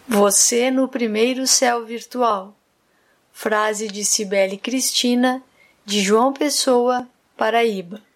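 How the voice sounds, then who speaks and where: A woman announcer gently reading a listener's devotional message on air. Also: a little high, fairly even intonation, slow. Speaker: radio announcer.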